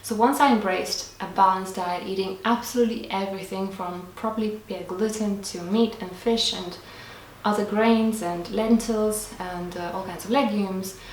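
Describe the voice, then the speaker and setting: A woman's voice: medium pitch, steady tone, moderate pace, distant mic, slight background noise. A young woman whose first language is Lithuanian, chatting earnestly to camera as she answers viewer questions, with small restarts. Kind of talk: casual to-camera Q&A. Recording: echoey room, voice off-mic.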